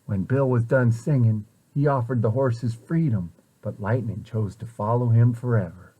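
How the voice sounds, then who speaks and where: A man reading a children's picture book aloud with expressive, deliberate storyteller phrasing. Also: medium pitch, slightly rough, rough recording.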